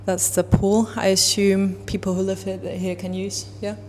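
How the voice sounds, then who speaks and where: A woman's voice: mid-range voice, level tone, moderate pace, a little hiss or hum. A woman hosting a casual vlog, commenting and asking questions in an enthusiastic, admiring way. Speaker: host and interviewer.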